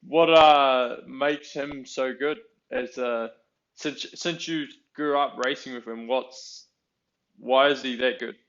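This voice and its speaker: Male interviewer, medium pitch, informal and loosely phrased, slightly muffled lo-fi sound. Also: fairly even intonation, slow, a bit bright. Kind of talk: casual interview chat. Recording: dull lo-fi audio.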